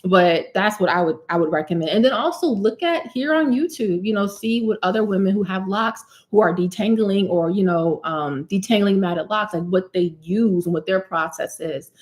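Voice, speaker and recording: A woman in her thirties hosting a live hair care Q&A, chatty and animated, calling viewers honey and sis. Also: medium pitch, normal speed, hardly any pauses. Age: 30s. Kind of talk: live stream Q&A, casual chat.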